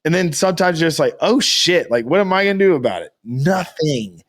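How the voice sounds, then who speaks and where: A man's voice: a little high, expressive, brisk. A man co-hosting a podcast in casual, sweary chat, often acting out imagined complaints. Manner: informal, heavy swearing. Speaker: podcast co-host. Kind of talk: casual chat with mocking quotes.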